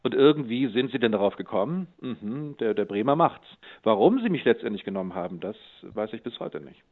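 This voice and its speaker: A man's voice: mid-range voice, animated, a little fast. A middle-aged man speaking conversationally as an interviewed voice actor, telling anecdotes over a thin phone-like line.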